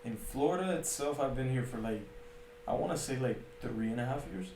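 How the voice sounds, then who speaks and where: A young man talks casually as a podcast guest. His voice is mellow and relaxed, with a middle pitch. The room adds noticeable echo, and there is faint noise behind him. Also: fairly quick, creaky. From Puerto Rico, later Arizona. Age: late teens to early 20s. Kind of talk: casual chat. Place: indoors, at a home.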